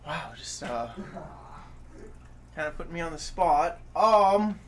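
Higher-pitched young man telling a story casually. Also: unhurried. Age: 20s. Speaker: podcast co-host. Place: furnished home room, indoors. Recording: faint room noise.

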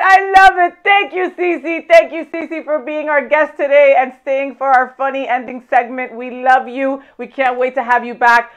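A woman's voice: high voice, fairly bright tone, muffled recording. A woman in her thirties hosting a web show from a small studio set, reading out definitions and giggling with her guest. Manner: upbeat, giggly, reads definitions aloud. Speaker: host. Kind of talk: hosted web show segment.

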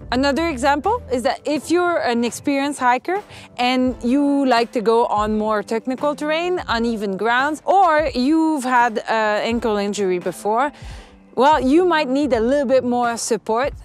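A young woman in a forest giving friendly, explanatory advice on choosing hiking boots to the camera. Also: high voice. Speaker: hiking gear reviewer. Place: outdoors in a forest.

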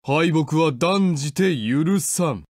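An actor voicing a grandiose, imperious demon lord who styles himself a dark prince in a game. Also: male voice, a little high, expressive, takes it slowly, no echo, clean studio sound.

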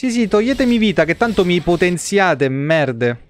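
A man's voice: high-pitched, very animated, normal speed, soft background music. A man giving casual, foul-mouthed and jokey live game commentary in slangy speech. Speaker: video game streamer.

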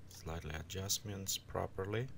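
Male tutorial instructor, non-native English, creaky voice, unscripted and halting, recorded with background noise and keyboard clicks. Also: deep voice, steady tone. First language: not English. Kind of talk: informal step-by-step screen tutorial.

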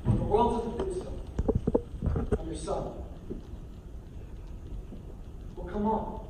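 A man's voice: sits high, measured pace. A young man playing a troubled, resentful young man in an amateur stage play, with earnest, projected delivery. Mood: angry. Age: young adult. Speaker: stage actor. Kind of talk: amateur stage drama acting. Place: large theater stage, indoors. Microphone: far audience camera. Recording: noisy, echoey theater recording from far off.

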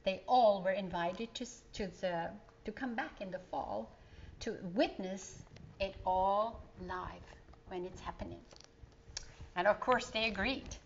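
Storyteller, woman, middle-aged. Slow, emphatic children's reading, slightly dull room recording. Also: medium pitch, gravelly, slight background noise. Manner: slow, emphatic, explanatory asides to young listeners. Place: indoor living room.

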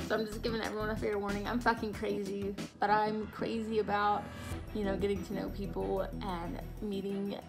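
A woman's voice: medium pitch, little pitch movement, moderate pace, music in the background. A woman in her thirties giving a bubbly, rambling self-introduction as a game contestant, recorded on a webcam in her bedroom. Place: bedroom at home.

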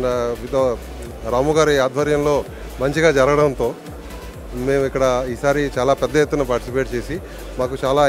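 Man, hesitant then rapid. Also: mid-range voice. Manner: hesitant English, then fluent Telugu.

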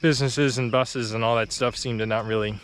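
A man's voice: mid-range voice, a little fast, slight background noise. A young man chatting casually with many fillers as he walks a rural road, a little out of breath, filmed on a camera held at arm's length. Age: young adult. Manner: relaxed and chatty, with many fillers and short laughs. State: slightly out of breath from walking uphill. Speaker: vlogger. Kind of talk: casual walking vlog. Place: outdoors on a quiet rural road, walking. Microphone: phone or action camera held at arm's length.

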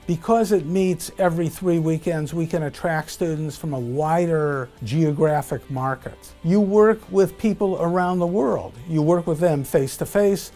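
An older man speaks with a mellow, relaxed tone as a business school dean. His speech is measured and deliberate, and faint music plays under it. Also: fairly high pitch. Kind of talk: promotional interview. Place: indoor office.

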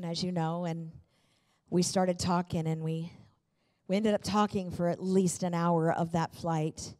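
A woman's voice: mid-range voice, darkish tone. A middle-aged woman pastor preaching a sermon with personal stories, speaking emphatically into a handheld microphone on a church stage. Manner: emphatic and fervent, with repeated phrases. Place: large church auditorium, on stage.

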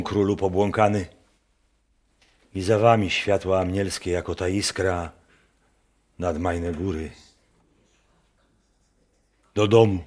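A man's voice: deep voice, a little mellow. A man playing a mystical, fatherly folk seer in a radio play, speaking in incantatory, poetic rustic phrases. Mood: resigned. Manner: incantatory, poetic, dialect-tinged speech.

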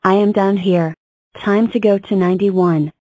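A woman plays an earnest female number block from a children's counting cartoon. Her delivery is stiff and formulaic, at a middling pitch, in a dry, close recording. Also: fairly even intonation, normal speed, dark tone, no room sound, close to an everyday voice.

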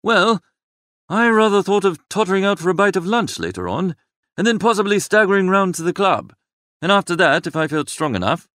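Breezy idle young gentleman, lively high-pitched man acting. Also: brisk, no echo, studio quality. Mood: flustered, evasive. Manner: wordy, breezy, drolly exaggerating. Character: Bertie Wooster, young idle upper-class gentleman, flippant and languid. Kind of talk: comic first-person audiobook narration.

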